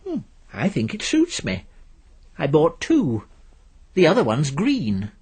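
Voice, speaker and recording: A man acts out a middle-aged English housewife from a storybook. She speaks high and lightly, with a mild, cheerful temper and a slightly husky edge. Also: wildly swooping pitch, slow pace, a little mellow, exaggerated voice. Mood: breezy.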